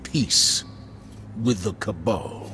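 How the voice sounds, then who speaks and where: A man playing a stern, composed military leader in a video game, briefing in a measured, authoritative and grave manner. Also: fairly low pitch, bright, forceful tone, a little hiss or hum, full character voice. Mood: grave, firm. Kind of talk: scripted game briefing and dialogue.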